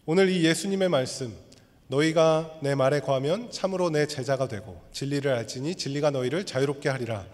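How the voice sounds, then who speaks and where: A man preaching a sermon as pastor, his voice hoarse, speaking in a measured, explanatory way and leading the congregation through a reading. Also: mid-range voice. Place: church sanctuary. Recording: long hall reverb.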